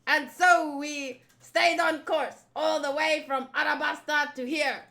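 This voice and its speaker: Woman as showy, short-fused male pirate villain for a manga reading: high, piercing, theatrical, bossy. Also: fairly even intonation, slow pace, creaky, sharp tone, exaggerated voice. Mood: demanding, exasperated. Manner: theatrical, indignant, commanding.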